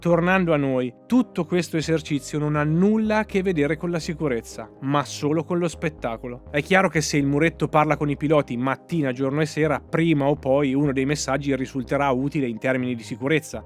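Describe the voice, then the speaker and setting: Male motorsport commentator, higher-set voice, scripted opinion read, emphatic and sarcastic, music bed underneath. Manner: emphatic, sarcastic, rhetorical questions. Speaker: motorsport commentator, channel host.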